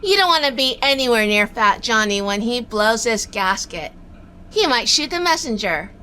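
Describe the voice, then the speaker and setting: A high, bright-voiced female private eye played by an actress in a noir radio play. She is sardonic and bossy, with lively swooping delivery and a hidden soft spot. Faint noise sits behind her. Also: moderate pace. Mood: sharp, exasperated. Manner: sardonic, scolding. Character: wisecracking female 1940s private eye, bossy, secretly lovestruck. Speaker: lead actor and narrator. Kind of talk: noir audio drama acting with narration.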